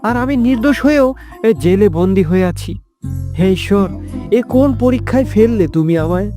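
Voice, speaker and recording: A man's voice: sits high, animated, normal speed. A man voicing a wronged, honest poor villager in a cartoon, pleading plaintively. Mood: indignant, anguished. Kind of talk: cartoon dialogue. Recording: loud background music.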